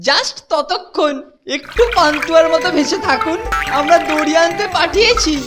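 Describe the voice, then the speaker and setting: A woman voicing a bossy, boastful teenage boy in a cartoon who talks in a pompous way. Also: very high voice. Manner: bossy, pompous, quick to panic. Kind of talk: animated cartoon dubbing. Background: cartoon background music.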